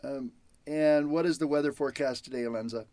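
A man's voice: medium pitch, fairly even intonation, fairly quick, dry recording, lightly acted. An actor playing a weary, jumpy man who mutters and swears to himself in an old-time radio style horror drama. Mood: breezy, halting. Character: weary, jumpy, grumbling man living alone with gadgets. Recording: loud background noise.

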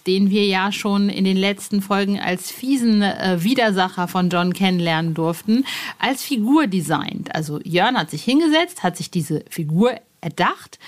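A woman presents a podcast in a chatty, informal style. She talks straight to her listeners with a playful touch, in a voice of medium pitch. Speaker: podcast host.

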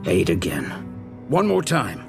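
A mysterious detective in a gothic radio play, low and gravelly. He is aloof and imperious, drawing words out slowly with theatrical swoops, over music. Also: wildly swooping pitch, exaggerated voice. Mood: commanding. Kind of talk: scripted gothic noir audio drama acting.

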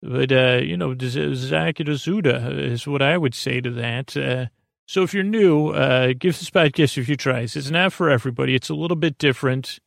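A man hosting a sleep podcast in a creaky voice, meandering and halting through a silly improvised bedtime ramble. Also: mid-range voice, no echo, clean studio sound.

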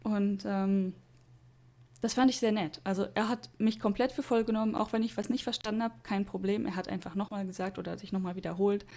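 A woman's voice: medium pitch, fairly even intonation, a little fast, warm tone. A young woman chatting casually, telling a story and quoting people with little laughs. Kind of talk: casual chat.

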